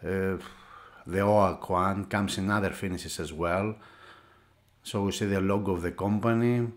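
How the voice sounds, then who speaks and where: Halting, lower-pitched man presenting a product. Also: steady tone. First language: not English. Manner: halting, searching for words, with frequent hesitations. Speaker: product reviewer for an audio magazine. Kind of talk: unscripted hands-on product commentary.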